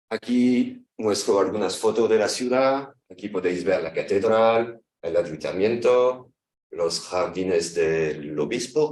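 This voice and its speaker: A man in his thirties from France, speaking with a French accent as a guest lecturer, pausing and correcting himself often as he gives an academic talk in a language that is not his first. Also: fairly low pitch, a little mellow, reverberant, far from the mic. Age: 30s. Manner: halting, frequent self-corrections.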